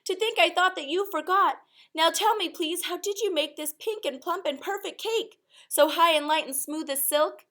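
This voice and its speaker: A woman's voice: very high-pitched, normal speed, bright, forceful tone, pitched above the performer's own voice. A woman voicing a fond, much-tried mother of seven in a rhyming storybook, with an exclaiming delivery. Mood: delighted. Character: weary, fond mother of seven fussy children.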